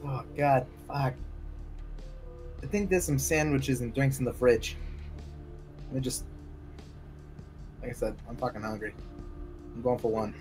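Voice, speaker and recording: A man plays a tabletop roleplaying game with casual, improvised lines and action narration. His voice is medium in pitch, with faint music underneath. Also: fairly even intonation, a little slow, pauses often, darkish tone, rough recording.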